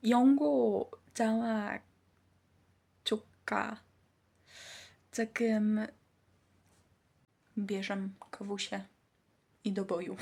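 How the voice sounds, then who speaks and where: A woman's voice: a little high, a little mellow, slightly rough. A woman, a student vlogger chatting casually in a rambling, informal way. is her language. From Poland. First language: Polish. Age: early 20s. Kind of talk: casual chat.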